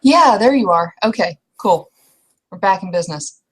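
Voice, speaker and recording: A woman speaking casually as a player in a roleplay session, hesitant and halting, often trailing off. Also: medium pitch, expressive, breathy edge. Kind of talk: casual in-character roleplay talk.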